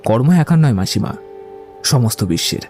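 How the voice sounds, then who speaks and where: Witty young Bengali gentleman acted by a man, theatrical. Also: mid-range voice, very animated, moderate pace, soft background music, close to an everyday voice. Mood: mock-philosophical. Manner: theatrical, playful, courteous. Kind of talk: Bengali audio drama acting.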